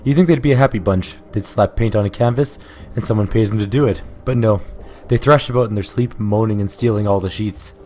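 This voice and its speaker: A sardonic male companion cracks mocking remarks in a hoarse, low voice that lifts slightly, as a man reads him aloud. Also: a little fast, hardly any pauses, darkish tone, slight background noise, lightly acted. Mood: wry. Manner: wry, mocking. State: hoarse from illness.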